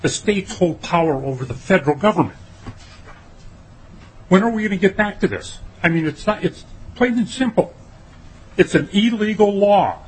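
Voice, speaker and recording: A man's voice: high voice, lively intonation, normal speed, long pauses, slightly rough, rough recording. A man testifying as a taxpayer at a committee hearing, emphatic and indignant. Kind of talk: public testimony. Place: legislative committee hearing room.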